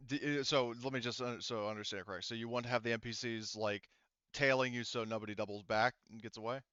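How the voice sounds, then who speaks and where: A man running a tabletop roleplaying game as game master, explaining details casually in a halting way. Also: medium pitch, steady tone, pushed and bright, smooth, no echo, lo-fi sound.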